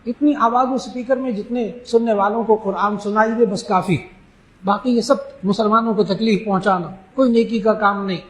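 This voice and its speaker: A man from Pakistan, an Islamic scholar, giving an emphatic religious talk that answers questions and slowing down to stress key phrases. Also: high voice, steady tone, a bit bright. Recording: echoey room.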